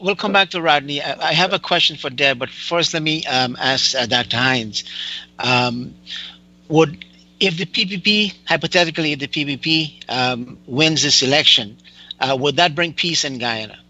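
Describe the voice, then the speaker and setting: Bright-toned male host on a lo-fi call. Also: a little high, moderate pace. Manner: hesitant, cuts in to steer the talk. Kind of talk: online panel discussion. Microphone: video call. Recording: dull lo-fi video call audio.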